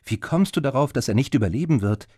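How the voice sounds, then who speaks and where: A man voicing a wary, impatient young woman in an audiobook, raising his pitch for her. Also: lively intonation, fast, dark tone, husky, no room sound, clean studio sound. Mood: insistent.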